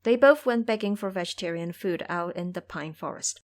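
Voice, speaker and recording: A woman's voice: on the low side, moderate pace, natural voice. Woman playing a humble, pleading monk. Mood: meek, pleading. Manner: deferential, formal, pleading. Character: humble, courteous male Buddhist monk, meek captive.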